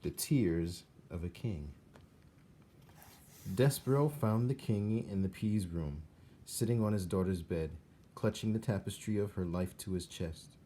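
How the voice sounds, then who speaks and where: A man reading a children's story aloud as an expressive narrator. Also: deep voice, measured pace, slight background noise.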